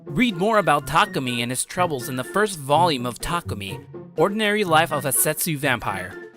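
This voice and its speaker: A man's voice: fairly high pitch, sing-song, moderate pace, over music. A man narrating as host, reading a scripted first-person story and promotional copy.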